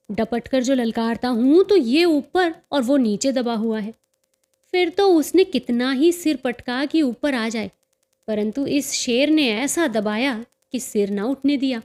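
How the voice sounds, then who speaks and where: A cheerful teenage dandy played by a woman narrator brags in a storybook tale. The voice is high and slow-paced, full of proud, excitable detail about bird fights.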